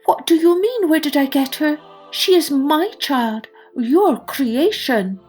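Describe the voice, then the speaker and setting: A woman's voice: high-pitched, expressive, slow pace, darkish tone, soft background music, voice pushed up. A woman voicing a devoted, proud mother crow, earnest and emphatic, in a children's storybook tale. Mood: indignant.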